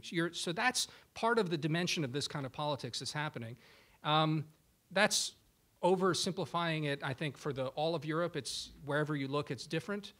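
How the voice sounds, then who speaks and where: A man's voice: fairly high pitch, a bit bright. Man from Croatia on a panel. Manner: thoughtful, halting with restarts. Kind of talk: panel discussion remarks. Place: large conference room with audience.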